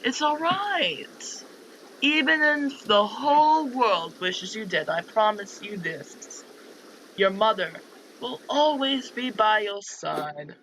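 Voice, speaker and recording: A man voicing a doting but menacing mother in a visual novel, with cooing, drawn-out reassurance. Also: sits high, animated, pushed and bright, slight background noise, exaggerated voice, poor audio. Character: doting, menacing mother consoling her child.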